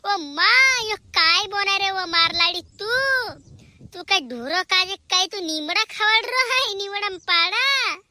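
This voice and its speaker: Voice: extremely high pitch, measured pace, sharp tone, dry recording, full character voice. A performer voicing a chattering, scolding village family member in an amateur cartoon comedy.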